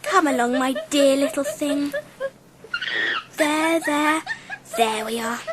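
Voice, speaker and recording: A woman playing a gentle, well-spoken young schoolgirl with a British accent and a light, youthful voice in an audiobook drama. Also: high voice, slow pace, long reverb tail, slight background noise. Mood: tender, delighted. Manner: well-spoken, sweet, earnest. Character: gentle seven-year-old schoolgirl, tender, polite, fond of animals.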